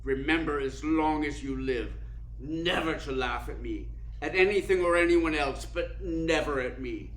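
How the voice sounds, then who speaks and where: Male actor as a cynical critic, imperious, precise, worldly, radio play delivery, slightly high pitch, noisy recording. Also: unhurried, natural voice. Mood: menacing, exposing. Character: suave, cynical, domineering male theater critic. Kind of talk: dramatic radio play acting. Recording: roomy echo with clear background noise.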